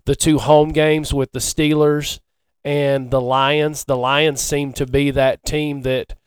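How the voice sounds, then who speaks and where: A man co-hosting a casual sports chat, speaking haltingly and often repeating himself. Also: fairly high pitch, level tone, clean tone, dry recording. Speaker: podcast co-host.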